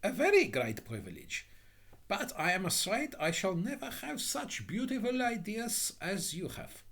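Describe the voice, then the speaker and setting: A man's voice: high-pitched, huge pitch swings, unhurried, fairly bright tone, husky, voice pushed up, exaggerated voice. A man voicing a meek, shy little man in a storybook tale, naively devoted and eager to please. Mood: humble, admiring. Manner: shy, timid, deferential. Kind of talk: theatrical storybook character voice.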